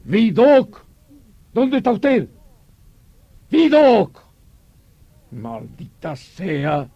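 Blustering police commissioner, old radio drama, high voice, slow emphatic delivery, exclamatory orders. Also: male voice, huge pitch swings, slightly rough, no echo, muffled recording, heavily acted. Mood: agitated, barking.

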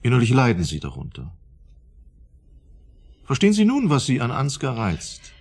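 An actor playing a smug, probing psychology professor in a German radio drama, lecturing insistently in a husky, gravelly voice. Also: male voice, medium pitch, wildly swooping pitch, moderate pace, darkish tone, soft background music, heavily acted. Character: smug, probing male professor of psychology, theorizing about everyone.

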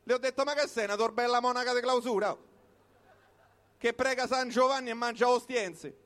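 A very high-pitched male voice with a Roman accent recites a comic monologue. He delivers it like live storytelling, in short colloquial phrases with pauses between them. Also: fairly even intonation, a bit bright. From Rome, Italy. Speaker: comic performer reciting his own piece.

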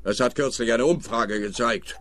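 A man's voice: medium pitch, normal speed, a bit bright, close to an everyday voice. A man with a Swiss German accent playing a self-important, muddled police officer in a radio play. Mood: pompous. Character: dim, pompous male police officer.

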